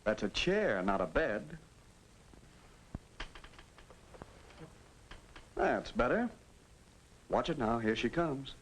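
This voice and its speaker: A man's voice: mid-range voice, very animated, unhurried, a bit bright. An actor playing a fatherly narrator who coaches a teenager in manners in a 1950s educational film. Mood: mild scolding. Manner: coaching, instructive. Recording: noisy old 1950s film soundtrack.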